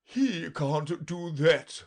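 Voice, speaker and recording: Fussy high-pitched storybook king. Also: lively intonation, drawn-out words, full character voice. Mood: brisk, self-important. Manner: blustering, exclamatory. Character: fussy, excitable, faint old storybook king.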